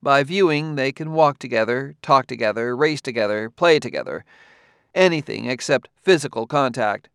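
A man reading an audiobook, voicing a brisk, matter-of-fact woman who runs a children's farm, easily exasperated. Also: medium pitch, vocal fry, fairly bright tone, smooth, no echo, clean studio sound, pitched above the performer's own voice. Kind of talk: audiobook character voice.

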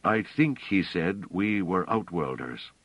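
An uneasy, wondering scholar, put on by a male narrator, full of doubtful questions. His deep voice rises and falls a lot. The recording is dry and close. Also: expressive, slow pace, husky, no echo, dull audio, full character voice. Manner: wondering, uncertain.

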